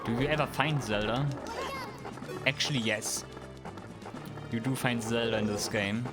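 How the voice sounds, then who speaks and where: A man's voice: mid-range voice, a little slow. A man in his thirties from Germany, casually talking through a livestream of a game and answering chat. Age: 30s. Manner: relaxed, answers chat questions, chuckles. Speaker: streamer. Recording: game music behind. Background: game music.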